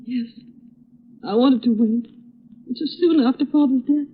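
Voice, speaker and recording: An actress playing a sorrowful young bereaved daughter, halting and plaintive, in 1930s radio melodrama. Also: female voice, sits high, slightly rough, narrow, tinny audio. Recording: thin old radio recording.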